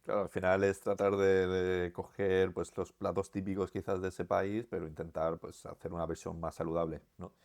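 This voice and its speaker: A man with an accent from Spain, co-hosting a podcast and asking questions in a measured, hesitant way. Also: sits low, flat delivery, vocal fry, darkish tone, dry recording, clean studio sound. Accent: Spain Spanish. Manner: measured, hesitant, lots of "eh" fillers. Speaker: co-host. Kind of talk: casual podcast conversation.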